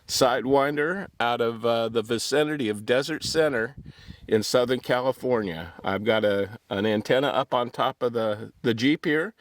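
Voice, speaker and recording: A man's voice: mid-range voice, normal speed. Laid-back man calling out on CB radio. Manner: relaxed, hesitant, lots of uh's. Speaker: CB radio operator. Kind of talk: CB radio calls and chat. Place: in a Jeep out in the desert.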